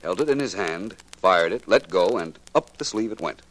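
A suave detective from an old radio mystery. His voice is very low, with lively inflection, and he reasons with clever self-assurance. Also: moderate pace, low-quality recording. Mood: explaining, sly. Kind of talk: 1940s radio detective drama acting.

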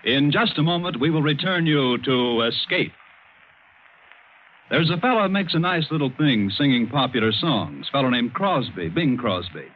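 A man's voice: mid-range voice, lively intonation, normal speed. A man with an American accent announcing in a folksy, polished mid-century radio promotional style. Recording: tinny, faintly hissy old radio recording.

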